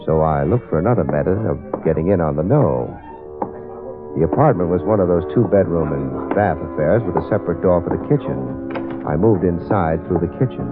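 An actor playing a hard-boiled male private eye who narrates in clipped, wry lines, in 1940s radio acting style. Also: low voice. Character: tough adult male private eye, wisecracking. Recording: tinny old radio broadcast with music.

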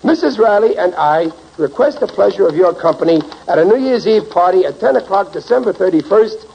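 Casting: bumbling, boastful New husband, highish animated voice, old muffled radio comedy. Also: male voice, fairly quick, gravelly, breathy edge, full character voice. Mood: fussing, amused. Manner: flustered stammering, boastful. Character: blustering, bumbling working-class husband and father. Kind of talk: 1940s radio comedy acting. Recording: muffled, hissy 1940s radio recording.

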